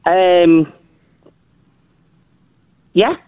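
A woman with a deep, crackly voice calls in to a radio show. She talks in a hesitant, nervous way and keeps apologising. The call comes through a thin, tinny phone line with no room sound. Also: extremely slow, clear, resonant tone, no room sound. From northern England. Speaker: caller.

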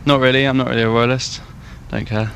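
Young lad on the street, monotone, gravelly low end, short uninterested answers. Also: male voice, fast talker. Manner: laconic, indifferent. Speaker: passer-by interviewed on the street. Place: outdoors on a town street. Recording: faint outdoor street noise.

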